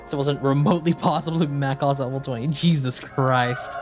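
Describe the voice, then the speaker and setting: A man's voice: medium pitch, steady tone, brisk, highs cut off, music in the background. A man casually chatting with viewers as a game livestreamer, rambling and swearing freely. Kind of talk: casual chat with viewers while playing.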